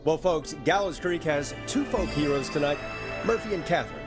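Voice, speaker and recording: An actor playing a calm late-night radio host in a video game, with composed, showy announcer patter. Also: male voice, fairly high pitch, normal speed, music in the background, close to an everyday voice.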